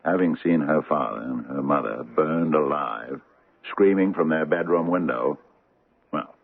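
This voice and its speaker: Casting: kindly older father, hesitant, very low husky voice, muffled vintage radio drama. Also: no echo, heavily acted. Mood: hesitant, grave. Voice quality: gravelly, husky. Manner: hesitant, measured. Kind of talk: 1970s radio drama acting. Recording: dry, muffled old radio broadcast.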